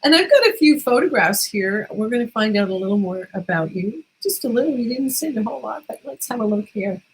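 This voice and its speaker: A woman's voice: on the high side, expressive, a little fast, a little hiss or hum, low-quality recording. A woman hosting a casual interview in an encouraging, affirming manner. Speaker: interviewer and host.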